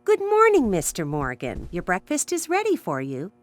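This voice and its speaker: A kindly old American innkeeper from a video game. She is genteel, chatty and fluttery, and her pitch swoops wildly up and down as she fusses. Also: huge pitch swings, normal speed. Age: older. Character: kindly, fussy elderly widowed female innkeeper. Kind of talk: scripted video game voice acting.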